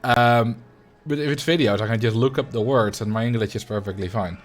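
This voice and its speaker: A man with a Dutch accent, a native Dutch speaker, casually chatting with his livestream viewers and answering chat in a relaxed, rambling way with frequent ums and light laughs. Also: medium pitch, fairly quick. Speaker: streamer.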